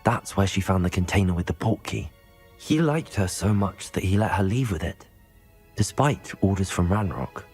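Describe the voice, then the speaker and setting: A man's voice: sits low, animated, normal speed, a little mellow, soft background music. A man playing an earnest, resolute young student wizard in a video game, polite in his way of talking. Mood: earnest, inquisitive. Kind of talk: video game cutscene acting.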